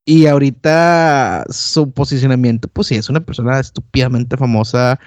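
A man's voice: mid-range voice, fairly even intonation, normal speed, a little mellow, no room sound, clean studio sound. A man in casual chat, slangy and reflective, hedging his points with fillers.

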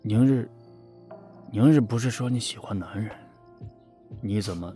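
A man's voice: lowish voice, measured pace, warm tone, faint music behind, natural voice. A man in an audio drama playing a possessive, jealous lover who is insistent yet tender, in a probing, intimate manner. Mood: stunned, questioning. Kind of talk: Chinese audio drama acting.

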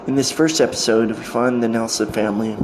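A man hosting a podcast, introducing episodes in a halting, phrase-by-phrase delivery. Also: mid-range voice, fairly even intonation, over loud music, poor audio. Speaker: podcast host introducing old-time radio shows. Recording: home recording with clear background noise.

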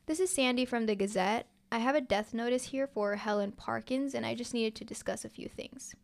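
A woman's voice: on the high side, a little fast, vocal fry. A woman voicing a female newspaper obituary clerk in a story, hesitant and placating. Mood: polite, apologetic.

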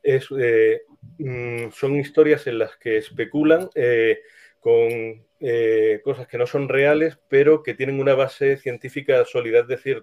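Male guest, Castilian Spanish, mid-pitched, thoughtful and halting, on a slightly muffled recording. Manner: halting, thoughtful, frequent pauses. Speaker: interview guest, screenwriter. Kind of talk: casual interview answers.

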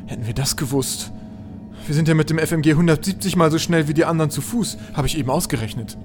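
Comic know-it-all professor by a male narrator, pitched up, fussy and easily flustered, for a radio play. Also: quick pace, over music, natural voice. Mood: calculating. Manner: flustered, questioning. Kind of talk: comic amateur audio drama acting.

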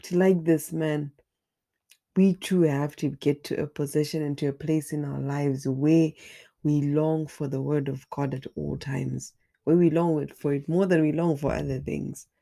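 A woman with a hoarse, raspy voice from a flu, earnestly leading an online Bible study and sometimes repeating words as she searches for the next one. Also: low voice, fairly even intonation, unhurried, warm tone.

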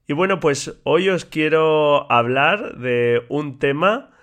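A man hosting a solo podcast in a relaxed, chatty way with many fillers, his voice slightly stuffy and congested from a cold. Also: on the high side, slow. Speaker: podcast host. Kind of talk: casual solo podcast talk.

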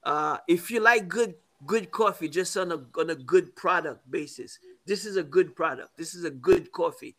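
A man in his fifties from Haiti, a coffee business owner, talking casually in an interview with halting, repeated words. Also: fairly high pitch, fairly bright tone. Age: 50s.

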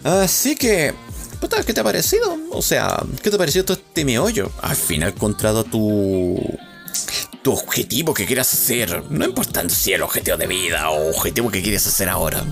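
A man's voice: on the low side, sing-song, moderate pace, vocal fry, music in the background. A man with a Chilean accent, hosting a rambling, self-mocking podcast monologue with lots of swearing. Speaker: podcast host.

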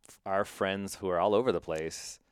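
Deep-voiced Midwestern man hosting a podcast. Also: normal speed, clean tone, no echo, clean studio sound. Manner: animated, excitable, laughs often. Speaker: podcast host. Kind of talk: casual podcast chat.

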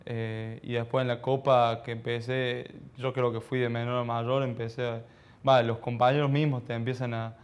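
A man of about twenty with an Argentine accent, giving modest, halting answers as a footballer in a casual interview. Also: mid-range voice, level tone, clean tone. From Argentina, childhood in Ecuador. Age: around 20. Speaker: professional footballer being interviewed.

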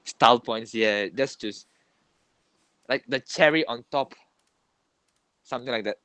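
A man's voice: medium pitch, unhurried, fairly bright tone, clear, resonant tone, no room sound. Young Malaysian man, chatty and dry. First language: Tamil. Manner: chatty, repeats words, drops in "lah". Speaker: podcast co-host. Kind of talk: casual chat.

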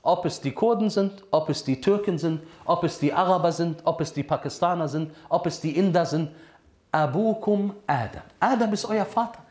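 A man's voice: a little high, moderate pace, a little mellow, echoey room. A man preaching a sermon lesson, asking his listeners questions and answering them himself, with Arabic phrases mixed into his talk. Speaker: Islamic preacher.

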